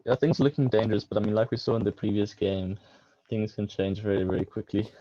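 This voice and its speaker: A man's voice: on the low side, fairly even intonation, fast talker, dark tone, dull audio, a little hiss or hum. A man co-commentating a chess match, working through move sequences aloud in a thoughtful way. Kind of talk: chess commentary, calculating variations.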